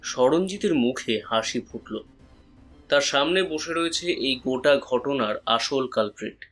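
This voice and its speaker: A man's voice: mid-range voice, a bit bright. Man narrating a detective story over music.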